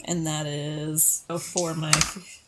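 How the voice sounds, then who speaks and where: A woman's voice: deep voice, little pitch movement, slow pace, heavy background noise. A woman chatting casually as a player in a tabletop role-playing game. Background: music bed.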